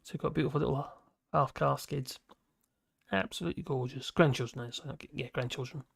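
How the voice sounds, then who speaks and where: Gravelly, rambling man in his fifties. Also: mid-range voice, steady tone, a little fast, no echo, clean studio sound. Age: 50s. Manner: chatty, chuckling, self-mocking asides. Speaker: livestream host reading chat. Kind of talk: casual rambling chat. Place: home office at his desk.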